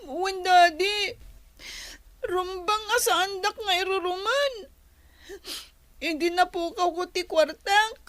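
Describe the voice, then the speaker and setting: A woman's voice: very high voice, slow, long pauses, fairly bright tone, no echo, exaggerated voice. A woman acting as a sulky, tearful little schoolgirl complaining in a radio drama.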